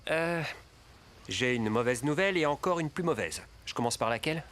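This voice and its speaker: A man voicing a hesitant, measured adult traveller in a French cartoon dub. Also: a little high, animated, fairly bright tone, slight background noise. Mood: worried.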